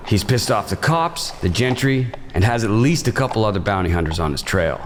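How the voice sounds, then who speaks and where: An actor playing a gruff, world-weary bounty hunter in a western audio drama, terse, hard-bitten and profane. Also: male voice, lowish voice, expressive, a little fast, slight background noise, natural voice. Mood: weary grumbling. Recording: music bed behind the voice.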